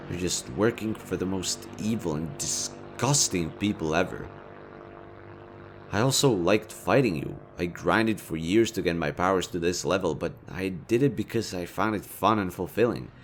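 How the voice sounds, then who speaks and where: A man's voice: deep voice, a little hiss or hum. A man voicing a smug, teasing but caring supervillain in an intimate audio roleplay, talking conversationally and straight to the listener. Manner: teasing, conversational, swinging from playful to earnest. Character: smug, teasing yet caring male supervillain, city-wide rival.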